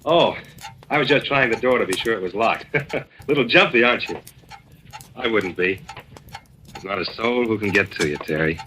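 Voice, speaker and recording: A cool, sardonic American turncoat, hard-boiled and unbothered. His voice sits low but bright. The recording carries the faint hiss of vintage radio. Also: lively intonation, moderate pace, pauses often, poor audio. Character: cynical American turncoat correspondent. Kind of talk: 1940s radio acting.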